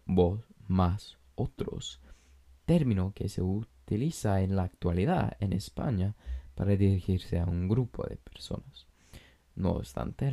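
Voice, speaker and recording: A man who is a native English speaker, talking Spanish with an English accent, hosting a language-learning podcast in a measured, explanatory way with light jokes. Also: low-pitched, vocal fry, warm tone. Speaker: podcast host. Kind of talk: scripted language-learning monologue.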